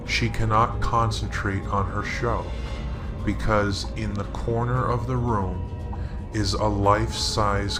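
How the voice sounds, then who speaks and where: Male storyteller, middle-aged, lowish voice, suspenseful scary-story read, roomy sound with music under it. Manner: slow, deliberate, suspenseful delivery. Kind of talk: dramatic scary story narration.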